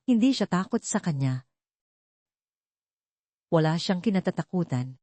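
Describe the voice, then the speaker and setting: Female storyteller, low-pitched and soft-toned, dry close sound, scripted drama narration. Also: expressive, measured pace, dry recording.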